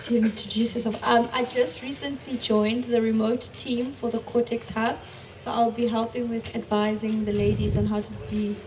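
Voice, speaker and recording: A woman's voice: fairly high pitch, flat delivery, normal speed, darkish tone. A young woman from Malawi introducing herself as a marketing manager, casual and slightly unsure. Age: young adult. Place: conference room. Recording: distant mic, clear room noise.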